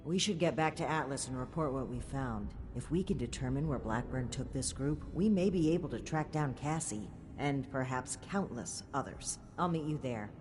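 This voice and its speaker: A woman playing an earnest, principled military officer in a video game, speaking formally and deliberately. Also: a bass voice. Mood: warm, grateful. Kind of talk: scripted game dialogue. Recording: clear background noise, game ambience.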